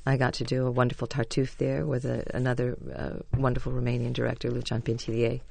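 A stage actress with a low voice, telling chatty, self-deprecating stories as a radio interview guest. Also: female voice, monotone, fast talker, gravelly, a little mellow, dry recording.

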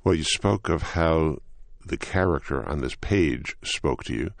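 A male interviewer asks brief, prompting questions in an exceptionally deep voice. The voice has a gravelly fry to it. It is recorded close and dry. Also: animated, unhurried, no room sound.

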